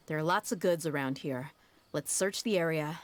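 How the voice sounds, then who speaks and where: A tough female desert mercenary in a video game, speaking low and even. She is blunt and steady, and her threats come out cool rather than loud. The voice sits deep for a woman. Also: measured pace, slight background noise. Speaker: game character.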